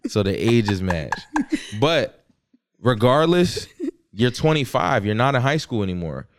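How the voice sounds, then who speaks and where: A man's voice: medium pitch, big pitch swings, unhurried, a little hiss or hum. A man hosting a casual podcast, laid-back and laughing a lot, swearing freely. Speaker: podcast host.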